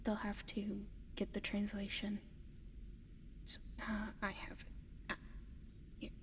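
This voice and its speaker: A woman voicing a gentle, eager alien in an ASMR roleplay, whispering softly and haltingly to the listener, slow and breathy. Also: mid-range voice, monotone. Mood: reluctant, reassuring. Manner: soft, hesitant, breathy whispering with halting pauses. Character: gentle, eager giant female alien adopting a human pet. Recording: long reverb, audible background noise.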